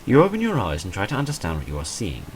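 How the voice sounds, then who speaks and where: A man with a deep voice reads a visual novel aloud while playing. His delivery swings widely in pitch as he dramatises the text, then drops into dry, understated asides. A light noise sits behind the voice. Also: wildly swooping pitch, quick pace. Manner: dry, understated humour, dramatic when reading. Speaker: gamer recording a playthrough.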